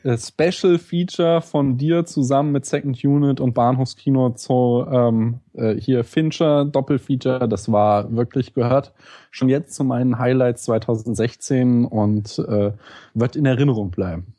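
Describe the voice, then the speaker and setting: A man's voice: medium pitch, unhurried, dark tone, no room sound, clean studio sound. A man chatting casually as a guest on a podcast. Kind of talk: casual chat.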